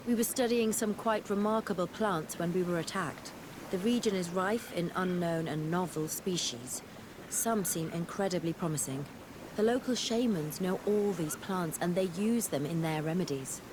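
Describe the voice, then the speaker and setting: An actress playing a determined, wary scientist-explorer in a fantasy game, earnest and articulate. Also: female voice, lowish voice, noisy background, lightly acted. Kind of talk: scripted game cutscene acting.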